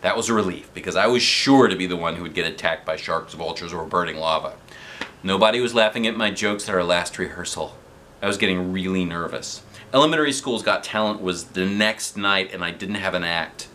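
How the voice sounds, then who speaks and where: A man in his thirties reading a children's book aloud from his living room sofa, expressive and animated, with casual asides. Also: sits low, fairly quick, a little hiss or hum.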